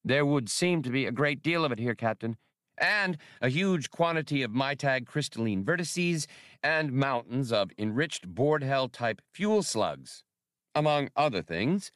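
A man's voice: mid-range voice, animated, unhurried, a bit bright, dry recording, clean studio sound, voice pushed up. A man voicing an eager, fussy male alien academic with precise scholarly phrasing in an audiobook. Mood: matter-of-fact.

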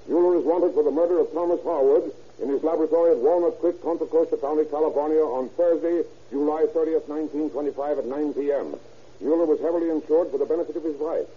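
A gruff, folksy lawman reading out a wanted notice in an old radio drama, with a very raspy, slightly high voice. The recording is thin and tinny. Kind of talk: 1930s radio drama acting.

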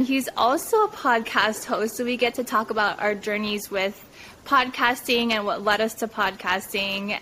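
A woman's voice: fairly high pitch, fairly bright tone, a little hiss or hum. A young woman co-hosting a podcast, chatting upbeat with many "like"s and frequent laughter. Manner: upbeat, lots of "like", laughs often. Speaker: podcast co-host. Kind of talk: casual podcast chat.

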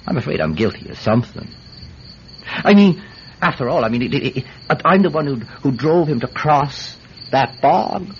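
A man's voice: medium pitch, very animated, moderate pace, lightly acted. An actor playing a talkative, wily Irish villager who stammers deferentially as he pleads his innocence in a radio drama. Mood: mock regretful. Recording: muffled, slightly noisy old-style radio recording.

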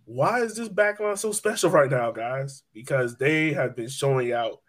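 Guy hosting a soccer podcast, normal pitched voice, super casual, says like and you know a lot. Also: animated, normal speed. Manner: conversational, peppered with "like" and "you know". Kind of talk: casual sports talk.

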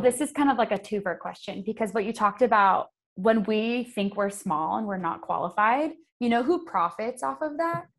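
Young American woman explaining things earnestly. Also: lowish voice. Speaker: guest environmental activist. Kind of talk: conversational interview answers.

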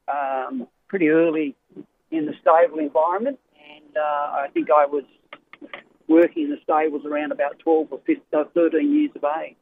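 Australian male trainer, middle-aged, gravelly, slightly high voice, hesitant, tinny phone line. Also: dry recording. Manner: rambling, hesitant, many fillers and restarts. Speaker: racehorse trainer guest. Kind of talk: casual radio interview.

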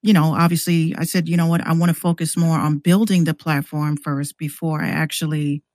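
A man's voice: a little high, flat delivery, brisk, a little mellow, no room sound, studio quality. A man in a casual podcast chat, explaining things informally and often repeating words as he goes. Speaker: podcast guest who runs an online radio station.

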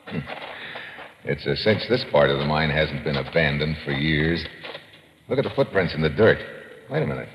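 An actor playing a tough, wry adventurer in an old radio drama, talking in terse, hard-boiled slang. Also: male voice, expressive, moderate pace, pauses often. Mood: brisk, watchful. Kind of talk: 1950s radio drama acting. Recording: old radio recording, muffled, boomy reverb, hiss.

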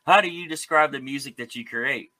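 Bright-voiced male music show host. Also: mid-range voice. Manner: chatty, quick to laugh. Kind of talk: casual, enthusiastic interview chat.

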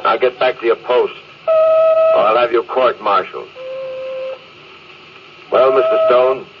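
An actor playing a stubborn, domineering spaceship captain in a brusque, commanding radio-drama style. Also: male voice, medium pitch, wildly swooping pitch, takes it slowly, exaggerated voice. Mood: angry, stern. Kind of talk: old-time radio drama acting. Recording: muffled, very noisy old radio recording.

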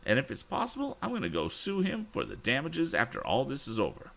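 Man reading excitable older gentleman, high and flustered, exclamatory. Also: moderate pace, gravelly, heavily acted. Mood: indignant.

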